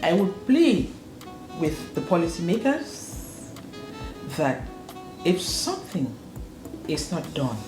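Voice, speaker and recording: A woman's voice: fairly low pitch, very animated, slow, long pauses. A woman who runs a school, from northwest Cameroon, answering interview questions earnestly and repeating words for emphasis. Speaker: school proprietor and educator. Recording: roomy echo with faint music.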